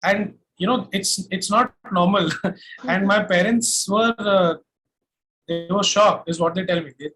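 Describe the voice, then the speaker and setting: A man's voice: sits high, steady tone, a little slow, bright, forceful tone, a little hiss or hum, rough recording. A young man with an Indian accent, chatting casually and telling stories as an interview guest. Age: young adult. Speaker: singer-songwriter guest.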